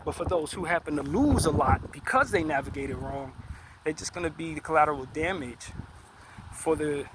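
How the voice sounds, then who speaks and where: Male commentator with a slightly high voice, deliberate and emphatic, on a noisy recording with vehicles passing. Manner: measured and deliberate, with frequent restarts and emphatic stresses. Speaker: online commentator. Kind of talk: unscripted opinion monologue.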